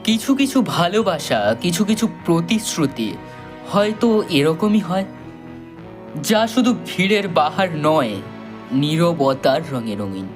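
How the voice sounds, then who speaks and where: A man narrating a scripted story solemnly and dramatically, with measured pauses. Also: on the high side, expressive, over music.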